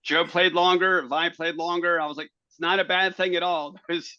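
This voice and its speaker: A man with a bright, forceful voice chats casually as a podcast co-host. He stumbles and restarts his phrases as he talks. The sound is dry and a little dull. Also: high voice, flat delivery, moderate pace, dry recording. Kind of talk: casual chat.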